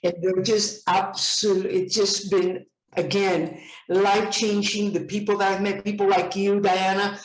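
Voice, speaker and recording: A woman's voice: mid-range voice, a little slow, a little hiss or hum. Enthusiastic woman in her seventies, roomy sound. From Puerto Rico. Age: 70s. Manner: enthusiastic, repeats words when excited. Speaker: participant. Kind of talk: casual video-call chat.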